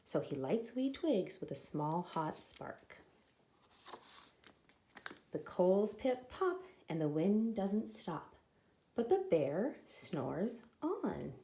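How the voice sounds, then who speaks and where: A woman reading a children's picture book aloud expressively, with deliberate, dramatic emphasis on the sound words. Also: on the low side, very animated, warm tone. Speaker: storybook reader.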